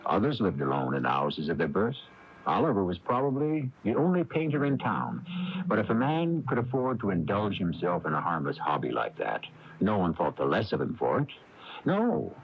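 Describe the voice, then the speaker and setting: A wry mystery narrator on old radio. His voice is lowish and creaky, with dramatic rises and falls. The broadcast tape is dull and faintly noisy. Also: very animated, normal speed. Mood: wry, intrigued.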